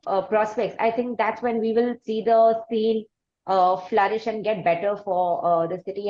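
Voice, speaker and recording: A woman with an Indian accent hosting a conversational interview, phrasing her questions in a halting, wordy and formal way. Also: medium pitch, highs cut off. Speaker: podcast interview host.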